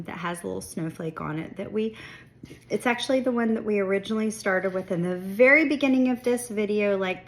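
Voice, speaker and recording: A woman's voice: on the low side, fairly bright tone. Chatty congested woman baking at home. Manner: chatty, self-deprecating, reassuring. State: congested, sniffling. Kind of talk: casual baking tutorial talk. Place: home kitchen.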